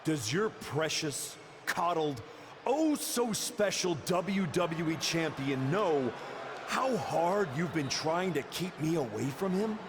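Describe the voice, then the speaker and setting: Casting: defiant game wrestler, taunting and accusing, fairly high and animated, in a noisy arena. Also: male voice, slow. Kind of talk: dramatic in-ring wrestling promo.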